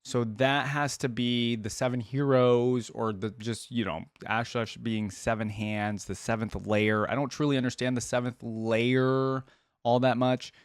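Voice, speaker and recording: A man's voice: mid-range voice, flat delivery, a little slow, clean tone. A man hosting a reaction video, commenting casually and musing aloud. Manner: musing aloud, echoing lines he hears.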